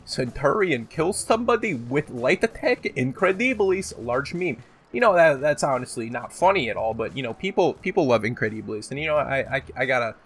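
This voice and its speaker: A man with a sore throat giving casual, rambling, unscripted countdown commentary with some swearing and slang. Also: medium pitch. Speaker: video host, gaming commentator. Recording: loud music behind the voice. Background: music.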